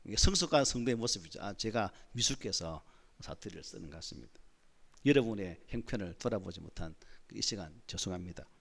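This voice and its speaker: A pastor preaching a Sunday sermon in a church with a Gyeongsang dialect, often asking the congregation rhetorical questions and building to emphatic points. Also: male voice, low-pitched, lively intonation, brisk, gravelly, fairly bright tone. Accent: Gyeongsang Korean dialect. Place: church sanctuary.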